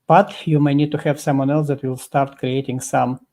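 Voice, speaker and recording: A man being interviewed as a guest over a video call, explaining at length in a halting way full of fillers and restarts. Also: mid-range voice, level tone, a little mellow. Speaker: guest expert.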